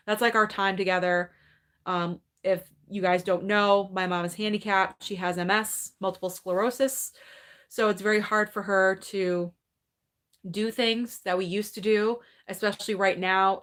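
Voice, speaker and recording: Woman, late thirties, movie channel host, casual live Q&A, chatty, upbeat, average pitch. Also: fairly bright tone. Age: 30s. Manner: chatty, upbeat, thinks aloud. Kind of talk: casual live-stream Q&A, reading viewer comments. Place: her room at home.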